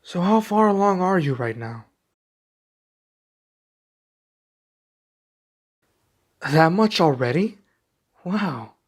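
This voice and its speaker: A man playing a young king and doting expectant father in an intimate ASMR roleplay, speaking softly and affectionately with some hesitation. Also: high voice, big pitch swings, unhurried, heavily acted. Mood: awed, grateful. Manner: soft, affectionate, hesitant delivery.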